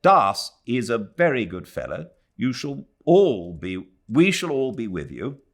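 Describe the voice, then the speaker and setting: A man's voice: medium pitch, very animated, unhurried, pitched above the performer's own voice. Man doing a brusque, exasperated young Englishman. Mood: brusque impatience. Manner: clipped and brusque.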